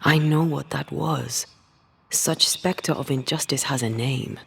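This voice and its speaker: A man playing a weary, grave ghost hunter in a video game, somber and measured. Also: fairly high pitch, steady tone, vocal fry, a bit bright, slightly rough, exaggerated voice. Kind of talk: video game dramatic dialogue.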